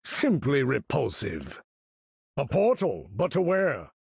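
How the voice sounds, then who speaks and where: A man's voice: mid-range voice, huge pitch swings, slow, vocal fry, breathy edge, no echo, studio quality, exaggerated voice. A man voicing an imperious older supervillain in a video game, commanding battle with pompous, theatrical, formal diction.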